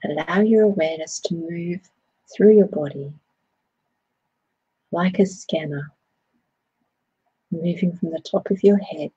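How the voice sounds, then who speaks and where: A woman with a low, very breathy and husky voice guides a body scan meditation. She speaks slowly and soothingly, in gentle phrases. The tone is soft and mellow. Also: no room sound, muffled recording.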